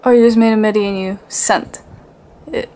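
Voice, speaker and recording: A woman's voice: medium pitch, fairly even intonation, normal speed, mellow, slight background noise. A woman vlogger talking casually, as if to viewers of her daily vlog.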